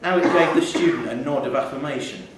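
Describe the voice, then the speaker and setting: A man reading a short story aloud in a measured, wry storytelling manner, live in a theatre. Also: medium pitch. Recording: echoey theatre hall, distant mic, faint noise.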